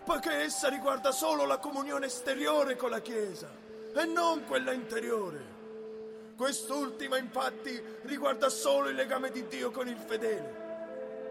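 A woman's voice: high-pitched, measured pace, gravelly, heavily acted. A woman acting a defiant preacher who solemnly declaims a thesis from the pulpit in a radio drama. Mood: solemn, resolute. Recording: loud music behind the voice.

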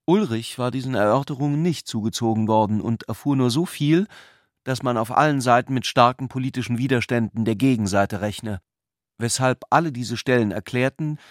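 A man's voice: medium pitch, a little fast, no room sound, studio quality. A man delivering scripted narration of a literary work as a radio play narrator.

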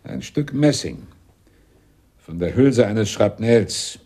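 An actor in a German radio play as a blunt, grumbling fire captain explaining things matter-of-factly. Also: male voice, lowish voice, animated, slow pace, dark tone, husky, exaggerated voice. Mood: dry, matter-of-fact.

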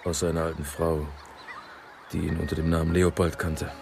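A man's voice: very deep voice, level tone, brisk, creaky, a little mellow. A man acting in a radio drama as a reserved, laconic police inspector, terse and reticent. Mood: reserved, subdued. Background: music.

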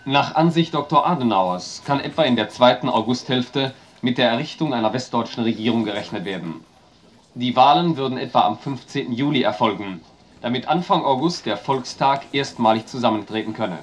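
A man playing a sober official, reading formal political minutes in a measured, factual way. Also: medium pitch, close to an everyday voice.

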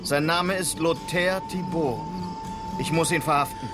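A man's voice: fairly high pitch, unhurried, music in the background, natural voice. An actor playing a composed, courteous but firm frontier policeman in a German western radio drama.

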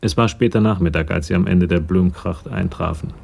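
A man narrating a German radio crime drama in a measured, factual storytelling manner. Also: deep voice, vocal fry, darkish tone, breathy edge.

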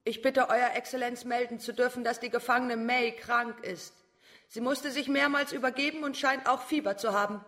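An actress as a deferential court attendant, echoing hall. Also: female voice, a little high, fairly even intonation, normal speed, fairly bright tone, natural voice. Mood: deferential, urgent. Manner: formal, deferential. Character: deferential, hurried court attendant reporting to judge. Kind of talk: German radio crime drama acting.

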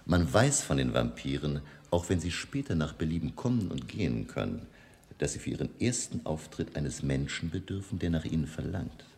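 A man playing a learned older vampire hunter in a German radio drama, commanding and intense, lecturing with hushed emphasis. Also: extremely low pitch, sing-song, normal speed, vocal fry, slight background noise, heavily acted. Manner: emphatic, lecturing, dropping to whispers.

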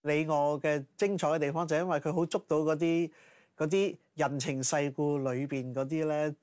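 A man's voice: a little high, steady tone, moderate pace, a little mellow, no echo, studio quality. A man giving reflective commentary as an interviewee.

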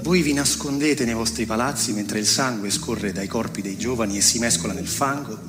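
A man's voice: lowish voice, normal speed, lightly acted. A man reciting theatrically as a defiant young accuser, declaiming with insistent repetition. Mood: bitter, condemning. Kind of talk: theatrical spoken-word recitation. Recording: long hall reverb, music clearly underneath.

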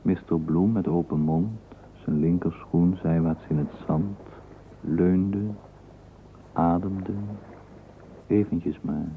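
An actor playing a measured, wry storyteller, narrating a literary radio drama in a husky voice. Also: male voice, low-pitched, fairly even intonation, a little hiss or hum. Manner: deliberate, measured phrasing. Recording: tinny, narrow-band old radio recording.

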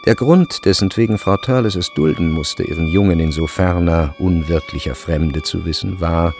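A male narrator with a very low voice and gravelly edge reads literary prose for a radio play. He is measured and precise, yet his intonation moves widely. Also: lively intonation. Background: faint music.

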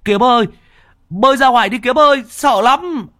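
A man doing a shrill, frantic teenage village boy. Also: normal speed, sharp tone, no room sound, clean studio sound. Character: panicky teenage village boy caught in floodwater.

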